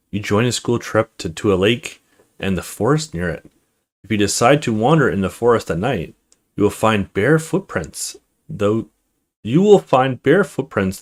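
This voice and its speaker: A man doing a let's play, reading a horror text game aloud dramatically, stumbling now and then and adding casual asides. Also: medium pitch. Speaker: video game streamer.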